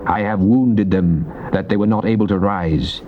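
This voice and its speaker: An actor playing a devout psalmist king, reciting scripture in a solemn, declamatory, deliberate way. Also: on the low side, close to an everyday voice. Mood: triumphant, stern. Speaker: actor reading Psalms in a dramatized Bible recording. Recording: muffled old cassette tape with faint noise.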